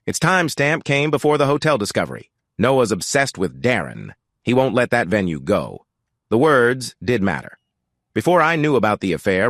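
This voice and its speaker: A man narrating a scripted first-person story in a measured, deliberate way, like an audiobook. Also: mid-range voice, fairly bright tone, no echo, studio quality.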